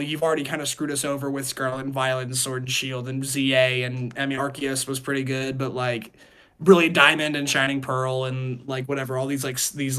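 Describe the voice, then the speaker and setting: A man's voice: medium pitch, a bit bright. A man hosting a casual podcast discussion, rambling and repeating phrases as he poses questions. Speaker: podcast host.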